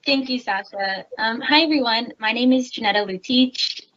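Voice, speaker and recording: A woman's voice: fairly high pitch, moderate pace, a bit bright, dull audio. A young woman university student introducing herself on a panel, polite and concise. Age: young adult.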